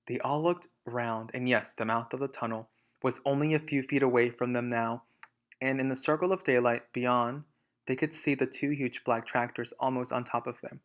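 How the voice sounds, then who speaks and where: A man's voice: medium pitch, fairly even intonation, normal speed. A man reading a storybook aloud as a teacher, emphatic in narration and explanatory in asides.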